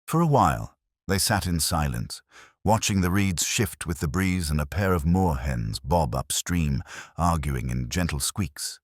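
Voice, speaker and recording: A man reading scripted story narration in a measured, literary manner. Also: extremely low pitch, expressive, no room sound, clean studio sound. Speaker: audiobook narrator.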